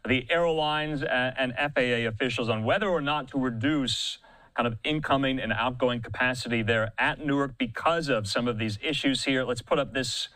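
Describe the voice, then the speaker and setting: A man's voice: medium pitch, moderate pace, pushed and bright, no echo, poor audio. A man anchoring live breaking news as a news anchor, speaking close to the microphone.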